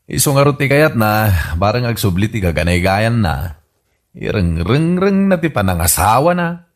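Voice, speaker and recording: Deep-voiced grumbling son. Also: male voice, sing-song, normal speed. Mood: matter-of-fact. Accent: Ilocano. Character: grumbling grown son looking after his old father. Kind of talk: radio comedy drama acting.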